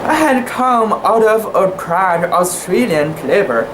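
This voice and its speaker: A woman giving an amateur dramatic reading of a classic sea story, careful and deliberate, with the occasional stumble. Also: mid-range voice. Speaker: amateur reader. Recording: echoey room with steady background hiss.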